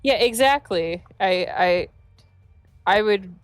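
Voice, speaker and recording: A woman's voice: mid-range voice, slow, dry recording, faint music behind. A woman streamer with a stuffy, congested nose, chatting casually and giggling often while playing a game. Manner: giggly, chatty, trips over restarts. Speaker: let's play streamer. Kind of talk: casual gaming chat.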